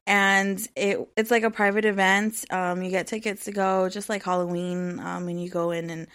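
A woman's voice: medium pitch, level tone, normal speed, a bit bright. A woman in her thirties hosting her own podcast, chatting casually and rambling, with lots of "um" and "like.". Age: 30s. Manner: rambling, heavy with "um" and "like". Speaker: podcast host. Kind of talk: casual solo podcast chat.

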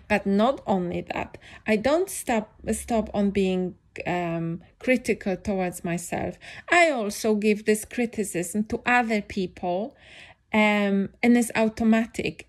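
A young woman talks through her thoughts in English, which is not her first language. She speaks slowly and unhurriedly, pausing and restarting often. Her pitch sits in a middle range. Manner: thoughtful, hesitant, many restarts and fillers. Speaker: podcast host. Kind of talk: reflective personal monologue.

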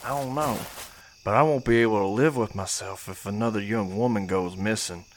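An actor playing a worried but determined hardware store owner, hushed and urgent, in an audio play. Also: male voice, on the low side, a little fast, lightly acted. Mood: worried resolve. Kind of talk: audio drama acting.

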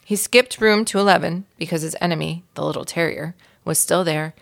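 A woman guest reader giving expressive narration of a children's storybook. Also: fairly low pitch, fairly quick, creaky.

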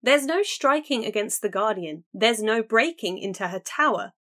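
A woman's voice: on the high side, moderate pace. Woman voicing a curt, guarded young thief. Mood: guarded weary. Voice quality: flat, curt and reluctant. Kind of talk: audiobook character voice.

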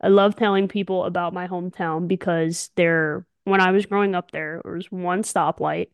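A woman's voice: on the low side, fairly even intonation, normal speed, clean tone, no echo. A young woman co-hosts a casual podcast, talking in an enthusiastic, emphatic, slangy way. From Alabama, United States. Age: young adult. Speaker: podcast co-host, softball player.

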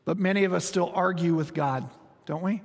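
A man in his forties preaching a sermon, telling stories with humor and moving between soft, slow passages and quick, lively ones. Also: high voice, creaky. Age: 40s. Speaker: pastor. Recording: long reverb of a large hall.

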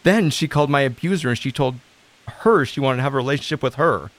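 A man acting the part of a lonely, self-doubting recovery coach in an audio drama, halting and introspective. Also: mid-range voice, close to an everyday voice. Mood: sad, withdrawn.

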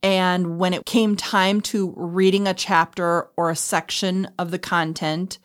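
Female podcast host, lowish register, conversational, reflective and explanatory. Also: level tone, unhurried. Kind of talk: casual conversational talk.

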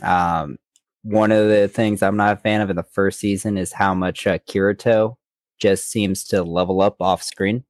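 A man's voice: deep voice, fairly even intonation, moderate pace, no echo, studio quality. A man co-hosting a podcast in casual, conversational chat. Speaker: podcast co-host.

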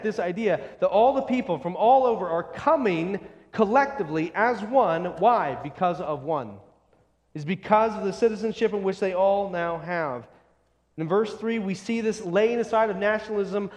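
A man preaching an expository sermon, speaking emphatically and deliberately and repeating short phrases for weight. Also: high voice. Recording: long echoing hall reverb.

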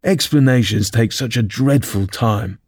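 Guy doing a gruff storybook griffin, dark mellow tone, solemn n impatient. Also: mid-range voice, a little slow, dry recording, clean studio sound. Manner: solemn, brusque.

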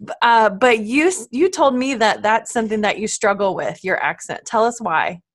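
A woman's voice: fairly high pitch, expressive, moderate pace. A woman podcast host interviewing casually, encouraging her guest with short responses and questions. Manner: encouraging, brief responses and prompts.

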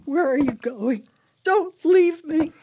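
An actress playing a fretful, grieving older wife in a radio play, plaintive and emotional. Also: female voice, high voice, animated, takes it slowly, very noisy. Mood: distressed, pleading. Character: fretful, grieving elderly wife, clinging to memories.

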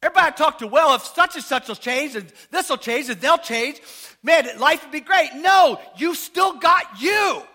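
Pastor, male, unusually high and bright voice, casual and joking, quizzes the congregation, bursts into shouts. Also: moderate pace. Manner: animated, rising to shouts, asks the congregation questions. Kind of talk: sermon.

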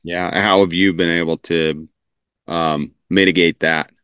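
Deep, steady-voiced podcast host. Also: male voice, unhurried, clear, resonant tone, no echo, studio quality. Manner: earnest, affirming, frequent backchannels. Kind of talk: interview hosting. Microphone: video call.

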